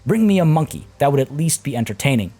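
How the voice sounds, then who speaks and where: A man's voice: mid-range voice, sing-song, fast talker, dark tone, voice pushed up. A man voicing an irritable, scornful male court official in a game's event text, sounding exasperated and contemptuous.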